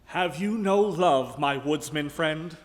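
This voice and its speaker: An actor playing an earnest, mock-heroic French-Canadian explorer in a comic live radio play, with formal, theatrical diction. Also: male voice, a little high, takes it slowly. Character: earnest, melodramatic French-Canadian explorer, orphaned young hero. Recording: reverberant ballroom stage.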